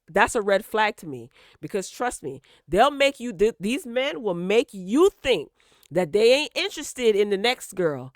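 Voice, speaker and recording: A woman's voice: mid-range voice, clear, resonant tone, no echo, clean studio sound. A woman host giving casual, opinionated gossip commentary, speaking emphatically and straight to her listeners, repeating words for emphasis. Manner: emphatic, direct address to listeners with repetition for emphasis.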